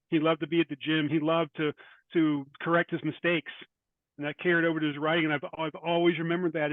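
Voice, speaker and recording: A man speaking as a writer guest in an interview, thoughtful and halting, often repeating words as he goes. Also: fairly high pitch, no room sound, phone-quality sound. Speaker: guest, short fiction writer. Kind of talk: interview conversation.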